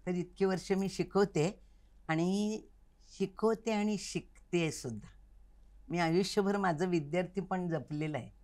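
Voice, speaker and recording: A woman's voice: lowish voice, measured pace, darkish tone. An older woman, talking reflectively as an interview guest in an earnest, instructive manner, stressing her key points. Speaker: interview guest, Kathak dance teacher. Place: recording studio.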